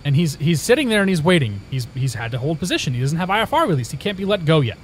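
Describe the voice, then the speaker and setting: Young indiana guy streaming, rambly chatty stories, kinda high voice, faint hiss. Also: very animated, quick pace. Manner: rambling storytelling with many restarts. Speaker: live streamer. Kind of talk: casual chat with viewers.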